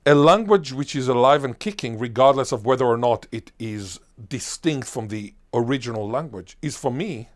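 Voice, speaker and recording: A man, a Hebrew speaker, answering as a linguist guest in an interview, emphatic and animated, full of lists and rhetorical questions. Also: medium pitch, moderate pace, few pauses. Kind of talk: enthusiastic explanatory interview answer.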